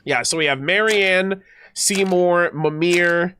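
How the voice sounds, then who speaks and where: A man's voice: sits high, takes it slowly, bright, forceful tone, slight background noise. A man streaming a game, chatting casually with viewers, slightly nasal and stuffed up, with many fillers and restarts.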